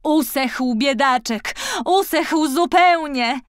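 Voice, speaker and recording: A woman's voice: high voice, no echo, clean studio sound, pitched above the performer's own voice. A woman voicing a distressed, grumbling male royal gardener who laments in a children's storybook. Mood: wailing, distressed. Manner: lamenting, drawn-out.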